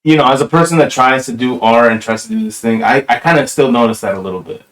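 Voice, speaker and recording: A young man from New Jersey talks things over on a podcast in an everyday mid-range voice. He is thoughtful and reflective, often pausing on "you know". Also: mid-range voice, fairly bright tone, rough recording. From New Jersey, USA. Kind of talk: casual chat.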